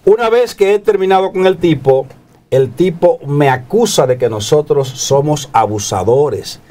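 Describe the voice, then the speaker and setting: A Dominican man in his sixties speaking as a TV panel guest, telling anecdotes and explaining in a deliberate manner. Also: on the high side, warm tone. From Dominican Republic. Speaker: guest panelist, TV channel director. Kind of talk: anecdotal political talk. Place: TV studio. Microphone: lapel.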